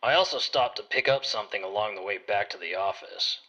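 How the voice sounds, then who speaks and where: A man's voice: on the low side, fairly quick, bright, forceful tone, lo-fi sound. A man narrating a scripted first-person detective story in a hard-boiled storytelling manner. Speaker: narrator playing a private detective.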